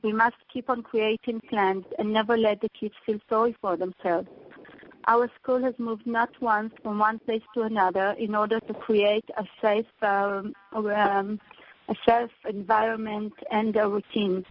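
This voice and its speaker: A woman, a teacher and mother, giving an earnest prepared speech to donors and pausing on fillers. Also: medium pitch, level tone, muffled recording, a little hiss or hum.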